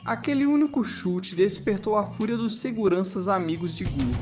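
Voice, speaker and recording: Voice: medium pitch, lively intonation, moderate pace. A Brazilian narrator reading a scripted cartoon video essay. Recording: music behind the voice. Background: background music.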